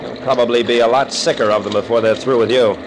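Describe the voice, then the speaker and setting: A man plays a tough boat captain and detective in an old radio thriller. His medium-pitched voice is gruff, terse and used to giving orders. It comes through a noisy vintage recording. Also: steady tone, fast talker, lightly acted. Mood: stern, questioning. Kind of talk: 1940s radio thriller acting.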